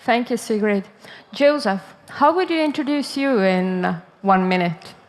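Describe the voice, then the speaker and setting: A woman moderating a conference panel, speaking in a hesitant, halting way. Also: fairly high pitch, lively intonation. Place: conference stage.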